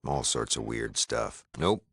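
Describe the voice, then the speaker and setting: Gruff town leader, American accent, very low pitch, gravelly, dry close recording, laconic, wry, video game dialogue. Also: male voice, lively intonation, a little slow, a bit bright, no room sound, full character voice. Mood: matter-of-fact. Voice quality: deep gravelly voice. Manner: laconic, plain-spoken, dry.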